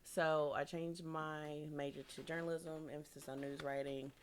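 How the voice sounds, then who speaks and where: A young woman with a deep voice, telling a story in casual chat and laughing often. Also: level tone, moderate pace, a little hiss or hum.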